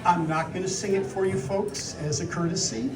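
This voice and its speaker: Soft-voiced, high-pitched man presenting in echoey space. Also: fairly even intonation, normal speed. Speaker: cocktail contestant. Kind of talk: live demonstration to an audience. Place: live contest stage with audience. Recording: echoey distant mic, crowd chatter behind.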